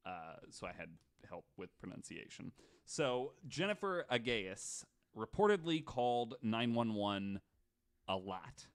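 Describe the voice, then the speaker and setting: A man's voice: medium pitch, sing-song, unhurried, smooth. A man hosting a casual podcast, telling stories from his notes in a jokey, conversational way. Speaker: podcast host. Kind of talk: casual storytelling from notes with banter.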